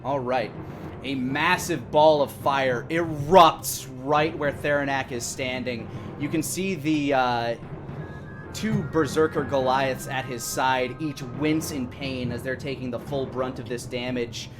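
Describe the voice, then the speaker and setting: A man in his thirties narrating a tabletop game as game master, descriptive, with hesitant pauses. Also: mid-range voice, fairly even intonation. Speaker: game master and stream host. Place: indoor room at home, live stream. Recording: music playing behind the voice. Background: background music.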